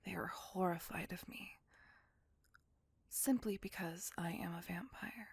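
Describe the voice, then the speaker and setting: A woman voicing a gentle, remorseful immortal vampire in an ASMR roleplay, speaking tenderly and intimately in a breathy whisper. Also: fairly low pitch, dry recording, exaggerated voice. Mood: vulnerable, hurt. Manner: slow, tender, earnest confiding.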